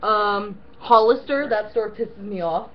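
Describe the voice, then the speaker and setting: A young woman co-hosting a casual, profane rant, animated and full of fillers like "um" and "like". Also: mid-range voice, unhurried, lo-fi sound. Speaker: co-host. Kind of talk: casual ranting chat.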